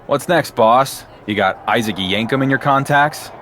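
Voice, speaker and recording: A man's voice: medium pitch, moderate pace, noisy background, close to an everyday voice. A man playing a defiant, cocky male wrestling champion in a video game cutscene, with a dry delivery.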